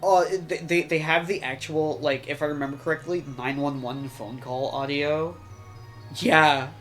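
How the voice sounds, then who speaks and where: A man's voice: on the high side, steady tone, a little slow, a bit bright, faint music behind. A man co-hosting a podcast in casual chat, explaining things in a rambling way with some swearing. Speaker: podcast co-host.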